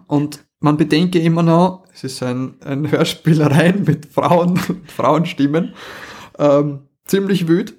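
A man's voice: on the high side, a little mellow. A man with an Austrian accent, co-hosting a podcast and reviewing in casual chat, amused and colloquial, often repeating words for emphasis.

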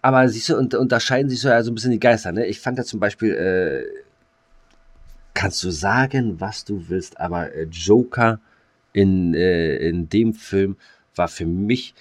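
A man's voice: fairly low pitch, moderate pace, no echo. A man co-hosting a podcast in casual chat, rambling with many fillers and restarts. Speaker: podcast co-host.

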